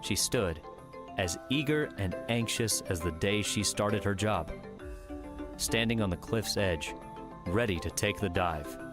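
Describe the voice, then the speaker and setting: A man narrating an audiobook in a measured, steady storytelling manner. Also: fairly low pitch. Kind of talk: scripted narration. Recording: music bed under the voice.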